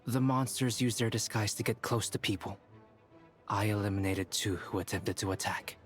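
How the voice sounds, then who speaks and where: A man voicing a composed, clinical young alchemist in a video game, measured and formal. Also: on the low side, lightly acted. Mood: grave explaining. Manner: measured, matter-of-fact, formal. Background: game music.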